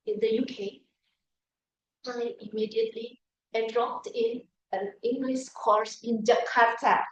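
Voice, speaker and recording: A woman's voice: fairly high pitch, distant mic, a little hiss or hum. A middle-aged woman from Indonesia giving a prepared humorous speech at a speaking club, telling stories about herself. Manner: storytelling with self-deprecating humor. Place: meeting room. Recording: echoey room, voice from afar.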